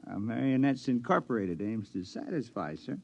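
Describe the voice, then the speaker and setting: A robot copy of a married man in a mid-century radio play. He is formal and deferential, with a gravelly edge and very animated pitch. The recording is muffled and old. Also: normal speed. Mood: polite, obliging. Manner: formal, obliging, servant-like. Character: polite, deferential robot duplicate of a henpecked husband. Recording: dull vintage radio recording.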